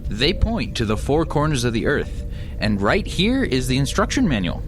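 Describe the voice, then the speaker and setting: A man's voice: medium pitch, very animated, normal speed, music in the background, natural voice. A man playing a sensible, know-it-all son who explains things drily in a family comedy radio play. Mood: explaining.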